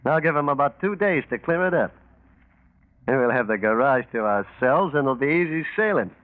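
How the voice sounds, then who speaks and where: An actor playing a cocky, slangy small-time American crook in 1940s radio style. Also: male voice, mid-range voice, expressive, normal speed, lightly acted. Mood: smug, gloating. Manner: slangy, wisecracking. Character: cocky small-time crook, smug double-crosser, male. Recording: tinny 1940s radio recording.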